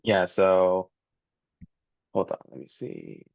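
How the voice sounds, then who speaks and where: A man's voice: deep voice, sing-song, takes it slowly, gravelly, no echo. A young man from Jamaica with no accent, giving an earnest, casual webinar talk full of "you know" and "like". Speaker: guest speaker, entrepreneur. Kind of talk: webinar presentation. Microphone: video call. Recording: dull, lo-fi, dry call audio.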